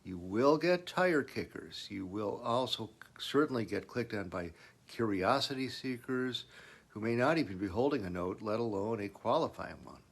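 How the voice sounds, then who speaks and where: A man's voice: fairly low pitch, expressive, moderate pace, slightly rough. A man presenting business advice in a semi-scripted, instructional explainer style, putting emphasis on key points.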